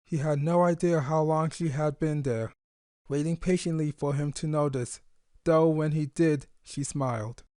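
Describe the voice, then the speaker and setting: A man's voice: on the high side, unhurried, warm tone, clean tone, no room sound, clean studio sound. A man reading a scripted story aloud as its narrator. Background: faint music.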